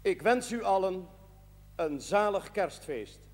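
Pompous Dutch parish priest in a period TV drama: high voice, slow solemn preaching, long church reverb. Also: male voice, animated. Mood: solemn, admonishing. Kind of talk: sermon.

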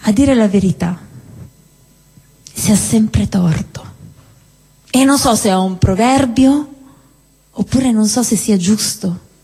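A woman performing a theatrical spoken-word monologue on stage, declaiming with deliberate phrasing and dramatic pauses. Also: mid-range voice, warm tone, breathy edge. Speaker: stage performer at a live show. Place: indoor cinema-theatre venue with long reverb. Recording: reverberant hall, live stage performance.

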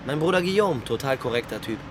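A man's voice: medium pitch, animated, fast, noisy background, natural voice. An actor playing an earnest, helpful young man in a German radio drama, casual and eager to explain.

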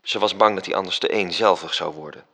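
A man's voice: sits low, quick pace, pushed and bright, heavily acted. An actor narrating a radio drama as an angel telling a story to his superior. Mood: calm.

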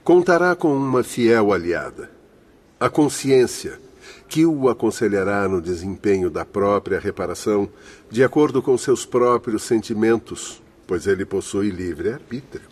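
A man's voice: fairly low pitch, lively intonation, unhurried. A man with a Brazilian accent playing a learned, kindly spirit doctor in a radio drama, explaining things formally. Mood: earnest, explanatory. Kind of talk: Portuguese-language radio drama acting.

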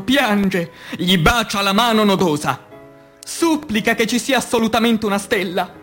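A man reciting poetry as radio theatre in speech, declamatory, with dramatic pauses, moving between hushed and emphatic delivery. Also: high-pitched. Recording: music under the voice. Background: music.

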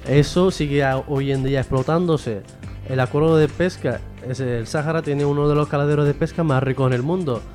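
Man, fairly high voice, from Western Sahara, explanatory interview guest, question-and-answer phrasing, faint music underneath. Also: brisk, a little mellow. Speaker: guest, member of a Sahrawi association. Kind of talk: political explanation in a radio interview.

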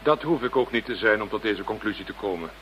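An actor playing a self-assured, detached parapsychology expert in a Dutch radio drama, with a precise, lecturing manner. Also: male voice, lowish voice, lively intonation, fast, a bit bright. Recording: muffled, noisy old radio drama recording.

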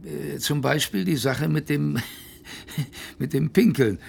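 An actor playing a plain-spoken former polar sailor, narrating a radio play as a wry, reflective recollection with a gravelly, husky voice. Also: male voice, fairly high pitch, takes it slowly, darkish tone, exaggerated voice. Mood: wry, amused. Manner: colloquial, hesitant, wry, reflective.

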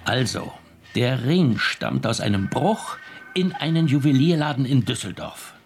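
An actor playing a measured, matter-of-fact chief police inspector in a radio crime drama. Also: male voice, mid-range voice, big pitch swings, gravelly, over music. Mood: dry.

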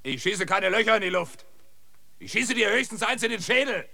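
An actor in a German radio play playing an inquisitive, steady man of eighty-six who speaks in a measured, plain-spoken, hesitant way. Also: high-pitched, vocal fry, background noise. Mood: threatening, shouting.

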